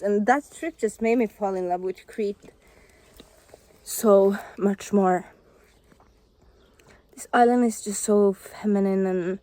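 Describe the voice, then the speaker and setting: A woman's voice: medium pitch, mellow, no echo. A woman narrating a travel vlog in a gushing, dreamy way. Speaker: vlogger.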